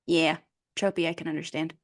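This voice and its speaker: A woman's voice: sits low, little pitch movement, brisk, dry recording, studio quality. A woman co-hosting a casual podcast game, muttering clues to herself as she thinks. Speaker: podcast co-host. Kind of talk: casual chat, guessing game.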